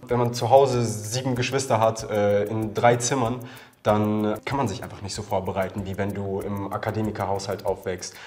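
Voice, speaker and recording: A man's voice: fairly low pitch, level tone, normal speed, a little mellow, soft background music. Earnest young man from Ukraine. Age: 20s. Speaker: guessing contestant. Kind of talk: casual chat. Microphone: lapel mic.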